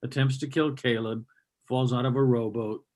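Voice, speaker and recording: A man's voice: medium pitch, steady tone, moderate pace, a little mellow. A man co-hosting a comedy show, giving casual improvised comic commentary.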